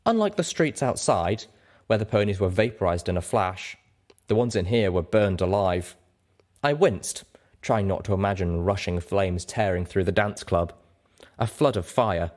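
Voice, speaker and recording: A man reading fiction aloud as an audiobook narrator, in an animated storytelling style. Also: sits low, normal speed. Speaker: audiobook reader.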